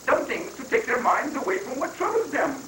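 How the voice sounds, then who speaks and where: Satirical stage guru, male reader: high voice, calm and coaxing, halting stilted phrasing, distant noisy roomy recording. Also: exaggerated voice. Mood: soothing explaining. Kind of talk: dramatic reading of a satirical play. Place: roomy indoor live reading, far from the mic.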